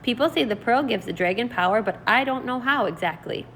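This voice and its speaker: Woman voicing an elderly great aunt, blunt, grumbling, disapproving, storybook read-aloud, lively intonation, some background noise. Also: on the high side, fairly quick.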